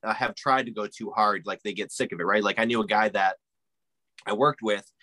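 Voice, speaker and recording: A man's voice: lowish voice, fairly even intonation, brisk, sharp tone, dry recording. A man co-hosting a casual podcast chat, leaning on fillers and tagging "right?" onto his points. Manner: fillers, keeps asking "right?".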